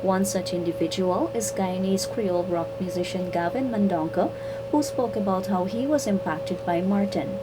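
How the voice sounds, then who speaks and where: A woman's voice: mid-range voice, rough recording. Woman reporter reading news over music. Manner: measured, formal delivery. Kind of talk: scripted news narration. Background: music bed.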